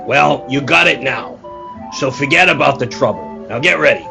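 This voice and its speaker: A man's voice: mid-range voice, expressive, moderate pace, gravelly, music in the background. A man playing a bossy, exasperated circus manager in a 1940s-style radio play, commanding and emphatic. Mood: weary, brisk.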